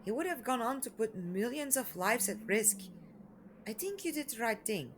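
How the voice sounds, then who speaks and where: A woman playing a curious, caring young travelling companion in an audio drama, questioning with gentle concern. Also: on the high side, big pitch swings, normal speed, noisy background.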